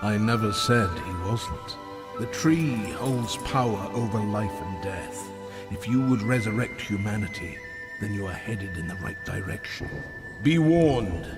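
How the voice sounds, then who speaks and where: An ancient, cryptic maker, grave and slow in delivery. His lines ring with a long reverb over clear game music. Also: lowish voice, animated.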